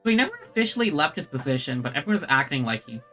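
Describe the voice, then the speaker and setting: A woman's voice: low voice, big pitch swings, very fast, fairly bright tone. A woman streaming a video game, giving casual commentary and reading in-game text aloud. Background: game music.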